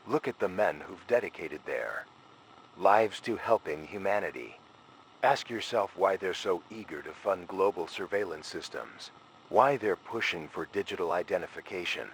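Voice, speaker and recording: A man's voice: deep voice, a little hiss or hum, poor audio. A man playing a frightened scholar who leaves a grave, ominous final warning in a scripted analog horror recording. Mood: urgent warning. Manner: grave, ominous, urgent, deliberate phrasing.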